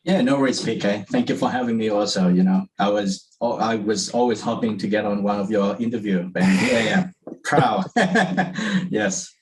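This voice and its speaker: A man's voice: fairly low pitch, lively intonation, moderate pace, low-quality recording. A man from Vietnam, a guest in an interview, who talks casually with many fillers and restarts. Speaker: interview guest, property investor.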